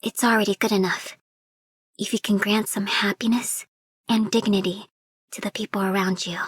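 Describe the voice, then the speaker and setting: A woman voicing a gentle, earnest, soft-hearted woman in a game. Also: medium pitch, fairly even intonation, normal speed, husky, no echo, natural voice. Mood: humble, reflective. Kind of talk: game character voice line.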